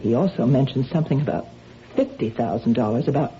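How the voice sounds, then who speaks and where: A woman's voice: extremely low pitch, lively intonation, quick pace, dark tone. An actress in an old-time radio drama playing an uneasy, indignant married woman who protests defensively. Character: anxious, indignant married woman, reluctant kleptomaniac. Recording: dull, noisy old radio recording.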